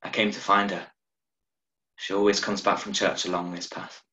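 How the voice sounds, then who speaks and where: A man's voice: low voice, flat delivery, moderate pace, sharp tone, distant mic. A man voicing a grieving, dazed woman in a short story read aloud. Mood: sorrowful. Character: grieving, dazed woman searching for her sister or friend. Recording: roomy, echoey video-call sound.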